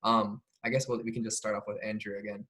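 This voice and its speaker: A young man hosting a casual student podcast, relaxed and chatty with frequent "um" pauses. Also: fairly low pitch. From Oregon, US. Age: young adult. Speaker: podcast host, club president.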